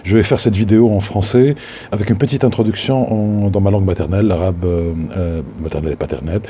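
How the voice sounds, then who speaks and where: A Tunisian man in his fifties, a filmmaker recording a spoken tribute on his phone, halting and full of fillers and restarts. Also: sits low, mellow, slight background noise. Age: 50s. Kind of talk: spoken tribute to a late mentor.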